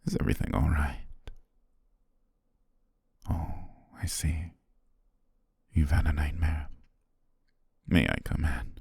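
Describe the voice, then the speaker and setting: An extremely low, gravelly voice from a man acting as a soothing, possessive naga in the guise of a servant. He coaxes gently and formally in an intimate ASMR roleplay, breathy and often whispering, at an unhurried pace. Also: expressive, no echo, full character voice. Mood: soothing, deferential. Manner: slow, gentle, formal, coaxing. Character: possessive male naga shapeshifter posing as devoted, soothing servant.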